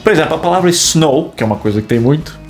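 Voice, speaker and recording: A man's voice: fairly high pitch, expressive, very fast. Playful man explaining. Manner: explanatory, playful, quizzing his co-host. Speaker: podcast co-host. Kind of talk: casual film chat. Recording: faint guitar music behind.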